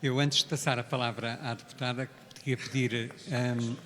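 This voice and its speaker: An older man moderating a public discussion informally, in a halting way that repeats words. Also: mid-range voice, level tone, fairly bright tone. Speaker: panel moderator. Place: large indoor hall, public event. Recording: echoey hall with long reverb.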